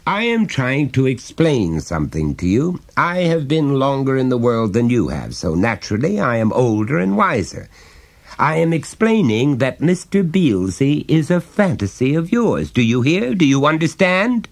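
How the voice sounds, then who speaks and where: A man's voice: medium pitch, big pitch swings, moderate pace, gravelly, voice pushed up. A man reading an audiobook, voicing a pompous middle-aged father who lectures his son in a condescending way. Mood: insistent. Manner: condescending, explaining at length.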